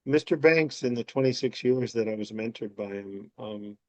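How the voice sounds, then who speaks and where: A man answering a listener's question in a calm, reflective teaching manner, stopping now and then to clarify. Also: mid-range voice, normal speed. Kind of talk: gentle conversational teaching. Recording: dull lo-fi audio.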